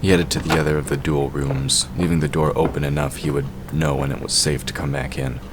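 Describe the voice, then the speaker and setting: An extremely low-pitched man narrates a scripted fantasy story as the narrator of an audio drama. There is clear noise on the hobby recording. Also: level tone, fairly quick.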